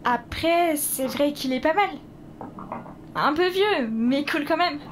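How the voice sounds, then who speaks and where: Woman playing a high-voiced young daughter. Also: lively intonation, takes it slowly, a little hiss or hum. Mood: curious, casual. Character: young daughter, over five, cool yet caring. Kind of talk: French audio drama acting.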